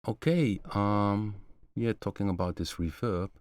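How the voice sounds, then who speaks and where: A middle-aged man hosting a casual live stream from a home studio, showing synth sounds in a relaxed, halting way with many fillers. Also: on the low side, no echo. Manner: relaxed, halting, many fillers, slightly non-native phrasing.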